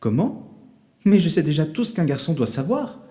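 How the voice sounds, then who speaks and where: A man's voice: fairly high pitch, sing-song, mellow, long reverb tail, pitched above the performer's own voice. Man acting a cocky, protesting storybook boy. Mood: indignant. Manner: quick to protest, exclaiming. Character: confident, boastful teenage boy in a legend.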